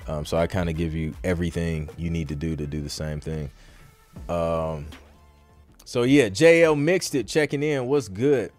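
A man with a fairly low voice talks casually as the host of a live Q&A podcast. His delivery is informal and slangy, wandering conversationally like a working producer giving advice. Some music plays faintly behind him. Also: normal speed, darkish tone.

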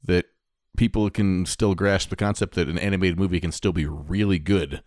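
A man's voice: low-pitched, fairly even intonation, moderate pace, a little mellow, dry recording, clean studio sound. A middle-aged man chatting casually as a podcast co-host about things he follows. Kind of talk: casual conversation about movies and games.

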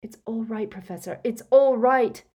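A woman's voice: fairly high pitch, slow, darkish tone, breathy edge, pitched above the performer's own voice. A woman voicing an earnest, anxious teenage boy in an audiobook story, strained and urgent. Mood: urgent reassurance.

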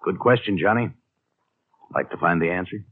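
A dry, tinny old radio recording of an efficient American claims man. His low voice moves expressively as he talks in a plain, businesslike, conversational way. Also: normal speed, no echo. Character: efficient, businesslike male insurance claims manager. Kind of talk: 1950s American radio drama acting.

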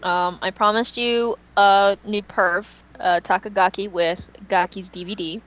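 A woman's voice: medium pitch, fairly even intonation, measured pace, fairly bright tone, muffled recording. A woman co-hosting a fan podcast in casual, unscripted chat, speaking emphatically and repeating phrases for dramatic effect. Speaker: podcast co-host and fan fiction writer.

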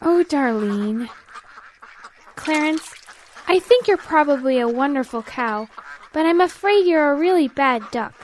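Woman as a high-voiced, kindly cartoon duck. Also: lively intonation, measured pace, a little hiss or hum. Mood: gently sympathetic. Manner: gentle, patient, explanatory. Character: gentle, amused, kindly female duck. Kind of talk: children's audio drama acting.

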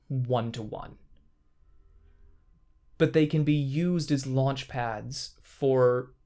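Man, casual podcast co-host, eager and playful, with a medium-pitched voice. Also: a little mellow, clean tone. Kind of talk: casual podcast chat about tabletop games.

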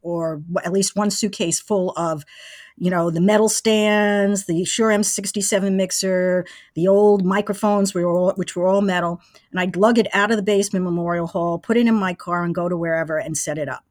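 A woman's voice: lowish voice, fairly even intonation, normal speed, darkish tone, no echo, clean studio sound. A woman in her sixties recounting her past step by step in a conversational interview, as the guest. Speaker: interview guest, former college radio engineer.

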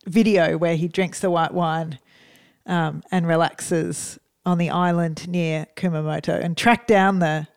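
A woman's voice: on the low side, fairly even intonation, measured pace, creaky, no room sound, studio quality. A woman co-hosting a podcast from home, chatting in an upbeat way and laughing easily. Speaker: podcast co-host. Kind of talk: casual podcast chat. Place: lounge room at home.

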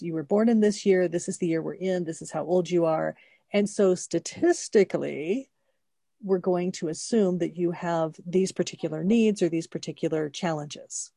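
A woman in her sixties talks through her thoughts in a relaxed, unhurried chat. Her voice sits fairly low and the sound is dry and close, with no room behind her. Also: gravelly, no room sound. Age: 60s. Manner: thoughtful, explains at length, laughs easily. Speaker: co-host. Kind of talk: casual chat.

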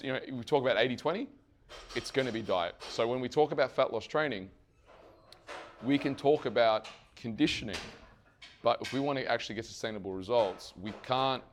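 A man losing his voice gives a lively fitness seminar to trainers and keeps asking the room questions. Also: fairly low pitch, a little hiss or hum. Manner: energetic, asks the audience questions and answers them himself; swears casually. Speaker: fitness coach presenting to trainers. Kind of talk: live seminar presentation.

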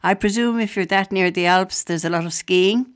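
A woman hosting a casual interview, chatty and quick to laugh. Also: medium pitch. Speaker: radio host.

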